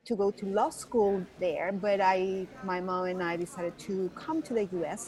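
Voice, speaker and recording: A woman's voice: mid-range voice, darkish tone, poor audio. Venezuelan-accented woman, earnest guest. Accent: Venezuelan Spanish. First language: Spanish. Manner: earnest, reflective, with restarts and laughs. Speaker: guest, yoga teacher. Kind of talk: casual interview.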